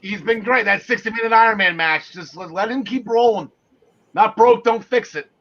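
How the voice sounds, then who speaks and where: A man hosting a wrestling panel show, recapping events with animated, emphatic delivery on slightly muffled audio. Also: high-pitched, normal speed. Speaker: podcast host.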